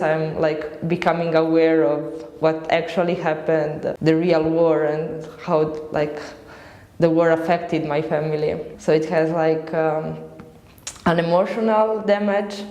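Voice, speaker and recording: A woman's voice: fairly low pitch, a little mellow. A woman of twenty-nine with a Croatian accent, giving a reflective personal account and searching for words as she goes. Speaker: interviewee giving personal testimony. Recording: echoey, reverberant room.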